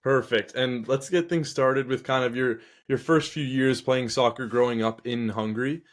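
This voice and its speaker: A man's voice: mid-range voice, normal speed. Man hosting a casual interview. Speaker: podcast host.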